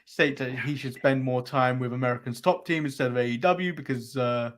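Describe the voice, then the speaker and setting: A man's voice: medium pitch, steady tone, fairly quick. A man co-hosting a casual wrestling recap show, laughing often as he runs through events with dry jokes. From England. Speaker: podcast co-host.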